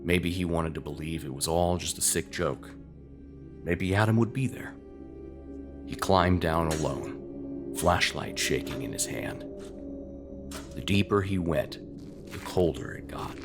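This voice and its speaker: Male horror narrator. Gravelly, unhurried, suspenseful, with prominent music underneath. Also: deep voice. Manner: slow, ominous, suspenseful, dropping into breathy near-whispers.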